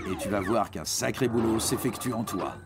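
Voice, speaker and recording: A man's voice: on the low side, normal speed, vocal fry. A man narrates an educational cartoon script playfully, speaking directly to a young listener. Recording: prominent music behind narration. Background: music.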